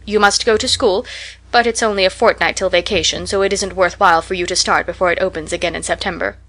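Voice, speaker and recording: A woman voicing a strict, disapproving middle-aged spinster guardian in an audiobook, brisk and immovable. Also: on the low side, fairly even intonation, a bit bright. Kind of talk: audiobook character voice.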